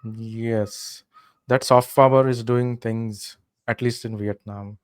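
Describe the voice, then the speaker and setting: A young man from India, a podcast guest, telling travel stories in relaxed casual chat with many fillers. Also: medium pitch, slow, clean tone, dry recording.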